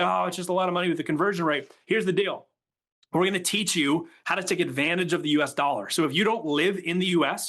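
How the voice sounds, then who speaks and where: A Canadian-born man co-hosting a live online workshop, rattling through viewer questions at a very quick clip. His voice sits a little high for a man, and his delivery is chatty and enthusiastic. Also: fairly bright tone. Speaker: workshop co-host. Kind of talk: live webinar sales pitch.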